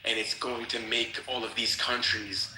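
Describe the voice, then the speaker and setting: A man argues in a live political debate with a very bright, tense voice. He challenges and interrupts repeatedly, speaking at a medium pitch through echoey audio. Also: level tone, a little slow, slight background noise. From Egypt.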